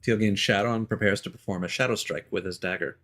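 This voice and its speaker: A man's voice: on the low side, fast talker, fairly bright tone. A man streaming a video game and chatting casually as he plays, thinking aloud with fillers and small laughs. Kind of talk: casual live gameplay commentary.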